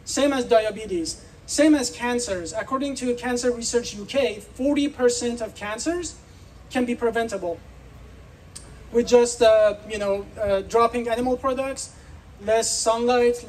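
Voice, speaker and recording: A man's voice: very high-pitched, normal speed, darkish tone, recorded from a distance, slight background noise, poor audio. A man from Iran giving an earnest, persuasive speech at an outdoor festival, putting questions to the crowd. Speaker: speaker.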